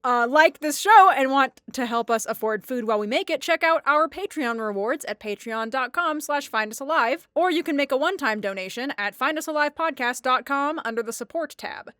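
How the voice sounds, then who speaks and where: A woman's voice: high voice, expressive, fairly quick, few pauses, bright, forceful tone, dry recording, clean studio sound. A woman podcast creator reading scripted thanks and a support appeal to listeners.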